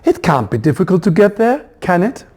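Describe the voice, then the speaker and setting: An eager, hopeful little eagle from a children's storybook, acted out by a male reader. The voice sits high and swings up brightly in melody, full of youthful wishing. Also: big pitch swings, fairly quick. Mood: hopeful, excited. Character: young double-headed eaglet's eager, hopeful first head.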